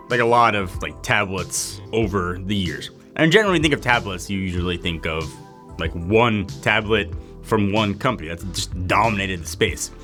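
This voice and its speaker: A man's voice: mid-range voice, fairly bright tone. A man hosting a tech video, giving a casual, chatty sponsored product review full of "like" fillers.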